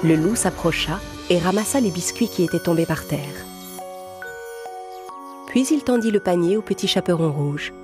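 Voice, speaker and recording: Lowish-voiced woman narrating a children's tale. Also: lively intonation, moderate pace, pauses often. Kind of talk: scripted children's storytelling. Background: music.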